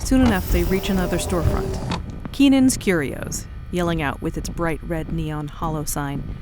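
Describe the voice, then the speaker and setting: A woman's voice: lowish voice, low-quality recording. A woman giving scripted, dramatic narration for a sci-fi audio drama. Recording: music bed behind narration.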